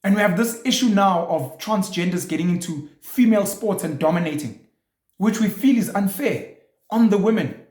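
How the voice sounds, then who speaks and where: A man's voice: sits high, expressive, normal speed, a little mellow, reverberant. Man posing provocative rhetorical questions. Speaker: show host. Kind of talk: opinionated monologue.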